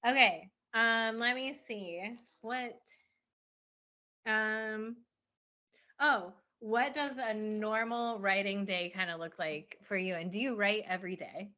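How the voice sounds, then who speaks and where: A woman co-hosting a podcast, talking casually and informally in a halting, unscripted way on lo-fi audio. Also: medium pitch, pushed and bright. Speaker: podcast co-host and indie author.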